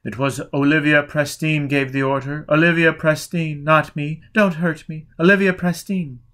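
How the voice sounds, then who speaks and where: A man's voice: on the high side, steady tone, moderate pace, fairly bright tone, lightly acted. A man voicing a tortured woman in an audiobook, desperate and pleading. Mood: terrified pleading. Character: screaming, pleading tortured female victim.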